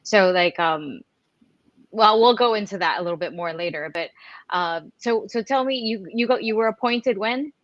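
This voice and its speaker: A woman's voice: medium pitch, moderate pace, dry recording, dull audio. A woman co-hosting a talk show, chatting casually and asking loose questions. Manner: chatty, laughs often, asks loosely. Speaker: co-host.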